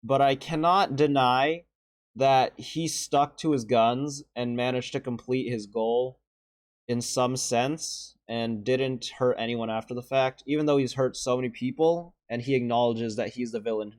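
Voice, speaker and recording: A young man with a deeper voice giving casual, earnest and emotional commentary as he ranks favorite animated shorts, with sighs and restarts. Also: a little slow, no echo, studio quality. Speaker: video creator ranking animated shorts.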